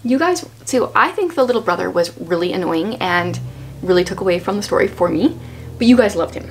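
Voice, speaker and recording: A woman's voice: on the low side, animated, brisk, soft background music. A woman in her thirties hosting a casual book chat at home, explaining things conversationally and prompting with questions. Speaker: host, homeschooling mother. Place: small furnished room at home, indoors.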